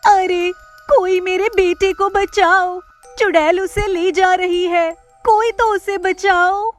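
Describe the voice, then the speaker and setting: A woman's voice: very high-pitched, steady tone, slow pace, soft background music, voice pushed up. A woman voicing an emotional, devoted village mother in a folk story. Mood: desperate pleading. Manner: pleading, emotional.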